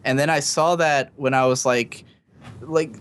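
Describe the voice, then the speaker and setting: A man's voice: mid-range voice, steady tone, unhurried, fairly bright tone, clean tone. Rambling young man chatting casually. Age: 20s. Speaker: podcast co-host. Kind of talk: casual chat.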